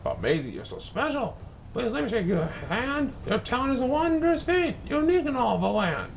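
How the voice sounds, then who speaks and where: A man voicing a slick, pushy talent agent with flattering salesman patter in a storybook reading. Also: very high-pitched, normal speed, creaky, slight background noise, heavily acted, poor audio. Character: slick fast-talking male talent agent, wheeler-dealer.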